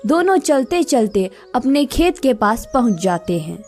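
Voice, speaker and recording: A woman narrates a scripted moral tale in a fairly high voice. She takes her time with each sentence, very slowly, as a storyteller does, over faint music. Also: very animated, darkish tone.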